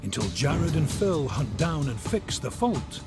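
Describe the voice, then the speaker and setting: A man's voice: a little high, big pitch swings, unhurried. A man reading scripted, dramatic documentary narration. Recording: loud music under the voice.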